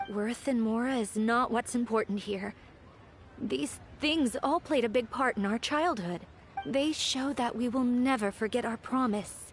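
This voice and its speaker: An actress voicing a gentle, earnest young townswoman in an anime game, polite and sincere. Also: a little high, a little slow, close to an everyday voice. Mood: earnest, nostalgic. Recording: game music behind.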